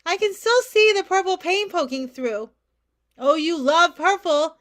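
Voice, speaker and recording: A woman's voice: very high voice, lively intonation, measured pace, fairly bright tone, heavily acted. An actress playing an anxious, guilt-ridden mother of a college-age daughter in a staged play reading, pleading and insistent. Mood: nostalgic.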